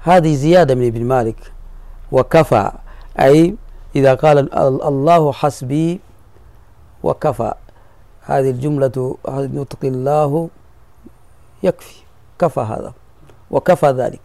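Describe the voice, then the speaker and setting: A man teaches grammar to his students, going over each point patiently and repeating key phrases. His voice is dark and mellow. The sound is dry and close. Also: medium pitch, unhurried, long pauses, no room sound. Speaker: sheikh teaching Arabic grammar. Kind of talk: classroom explanation of a grammar poem.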